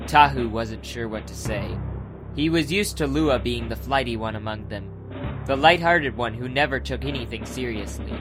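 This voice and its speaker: A man's voice: mid-range voice, moderate pace. A man narrating a fantasy adventure audiobook from a script. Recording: loud music bed under the narration.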